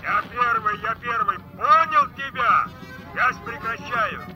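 Formal boat dispatcher, really high pitched, slow drawn out calls, soviet radio play. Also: male voice, music in the background, poor audio. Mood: formal, attentive. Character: official dispatcher calling boats over radio.

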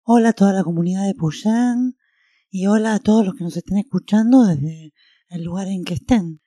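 Very mellow Argentine woman presenting radio. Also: medium pitch, moderate pace. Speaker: radio show host.

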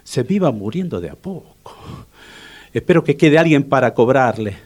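A man's voice: mid-range voice, big pitch swings, moderate pace, heavy background noise, close to an everyday voice. An actor playing a proud, fussy older neighborhood man who cooks, with an Argentine Rioplatense accent and a hesitant, repetitive, self-important manner, in a comic radio drama. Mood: wry, muttering.

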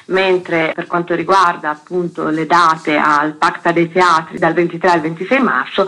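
A woman's voice: fairly low pitch, fairly bright tone, low-quality recording. A woman from a theatre company speaking as a guest in a radio interview, explaining her work.

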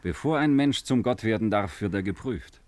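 A man's voice: lowish voice, expressive, moderate pace, a little mellow, no room sound, close to an everyday voice. A man playing a deferential, devout attendant to an emperor in a 1950s radio drama, earnest and respectful. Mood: reverent.

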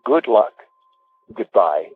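Male recorded-message voice, lowish and rough, heard through a tinny telephone connection. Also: very animated, slow. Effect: telephone line. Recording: muffled, husky-sounding phone line recording.

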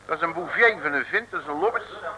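An actor playing a brash, bumbling, self-assured Dutch detective in an old comic radio serial, blustering in colloquial slang with a Dutch accent. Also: male voice, fairly high pitch, expressive, quick pace, crowd noise, natural voice. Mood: self-assured, breezy. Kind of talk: 1950s-60s comic radio serial acting. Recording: noisy old radio recording.

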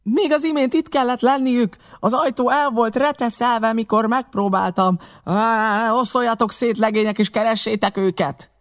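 A man voicing a furious blind old beggar pirate leader in an audiobook, shouting commands and curses. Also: extremely high pitch, lively intonation, pitched above the performer's own voice. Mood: angry, commanding. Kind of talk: audiobook character acting.

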